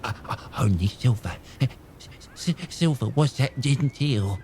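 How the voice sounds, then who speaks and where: A man's voice: medium pitch, lively intonation, measured pace, a little mellow, a little hiss or hum. An actor playing a half-crazed old male castaway who stammers, rambles and repeats himself. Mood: wheedling. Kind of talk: radio drama acting.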